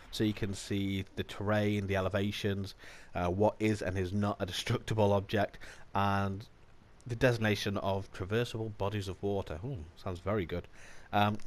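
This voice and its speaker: A man with a bad cold, slightly nasal, hosting a casual gaming channel update in a chatty, relaxed way. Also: fairly low pitch. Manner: chatty and relaxed, with small laughs and restarts.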